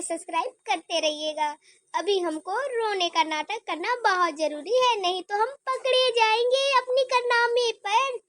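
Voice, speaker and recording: A woman's voice: very high voice, bright, forceful tone, dry recording, studio quality. A woman playing a sly two-faced daughter-in-law. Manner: fake sympathy, sly whispered asides to viewers. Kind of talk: homemade family drama skit.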